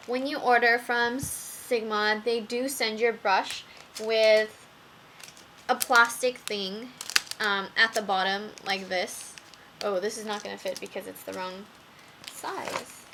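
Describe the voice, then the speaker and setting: A young woman reviewing makeup brushes in a casual vlog, talking slowly with many ums while her voice wears out. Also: mid-range voice, background noise. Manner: slow, with many ums and fillers. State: losing her voice. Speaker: beauty vlogger.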